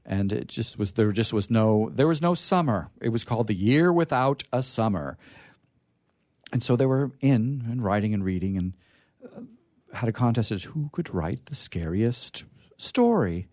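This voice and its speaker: A man's voice: mid-range voice, lively intonation, normal speed, mellow. A man hosting a radio theatre reading, introducing it off the cuff and searching for his words as he goes. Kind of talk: unscripted introduction to listeners.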